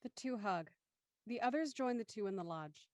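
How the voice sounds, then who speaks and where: A woman's voice: mid-range voice, expressive, normal speed, a little mellow, no room sound. Woman narrator, very dry sound. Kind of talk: reading scripted stage directions.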